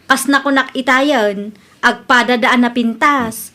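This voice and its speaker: A candid, chatty Filipino woman friend from a radio comedy drama, played by an actress. Her voice is distinctly high. She talks in a teasing, conversational way. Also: fairly even intonation, measured pace, sharp tone. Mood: candid, reasoning. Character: chatty, candid adult female family friend.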